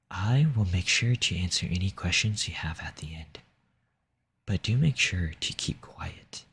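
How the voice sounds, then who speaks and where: A man playing a possessive, seductive demon in an intimate audio roleplay, speaking formally in a breathy, coaxing, menacing way. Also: low voice, a bit bright, heavily acted. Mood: commanding, smooth. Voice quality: husky, breathy, often dropping into whisper. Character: possessive seductive male demon, silver-tongued and domineering.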